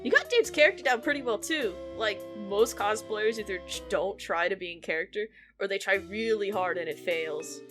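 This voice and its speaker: A friendly young woman at a convention in a comic dub, played by an actress. She teases casually in a mid-pitched voice, over quiet music. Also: lively intonation, moderate pace, hardly any pauses, lightly acted. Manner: casual, teasing. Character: friendly teasing young woman convention cosplayer, twin sister. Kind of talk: fan comic dub dialogue.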